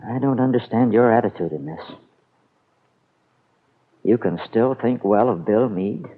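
A man's voice: on the low side, measured pace. An actor playing a blunt, protective, skeptical man in a vintage radio soap, speaking with exasperated emphasis. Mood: indignant. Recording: tinny phone-like old radio recording.

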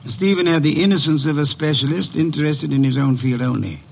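Male, shrewd pompous middle-aged detective for classic radio mystery; husky, gravelly, courtly and articulate. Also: on the high side, a little fast, rough recording. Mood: accusing. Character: shrewd, imperious, portly private detective, middle-aged man. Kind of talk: old-time radio detective drama acting.

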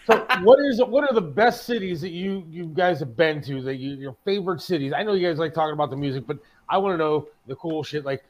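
A man's voice: fairly high pitch, quick pace, hardly any pauses, a little mellow, lo-fi sound, a little hiss or hum. An American man co-hosting a radio show with casual banter, jokey, blunt and informal.

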